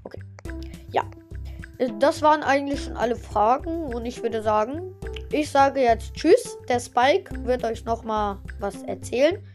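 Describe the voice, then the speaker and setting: A woman's voice: on the high side, expressive, unhurried, a little mellow, soft background music. A woman casually hosting her own podcast and interviewing a guest, chatty and often stumbling over her words. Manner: chatty, stumbling, many fillers and restarts. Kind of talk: casual home podcast chat.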